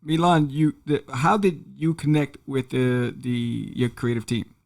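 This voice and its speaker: A male podcast host with a gravelly, creaky voice. He takes his time and keeps it loud and upbeat. His questions are casual and relaxed. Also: mid-range voice, a little slow.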